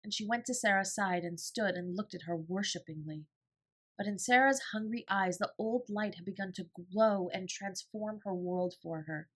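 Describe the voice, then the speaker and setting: A woman narrating an audiobook in an expressive storytelling style. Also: mid-range voice, normal speed.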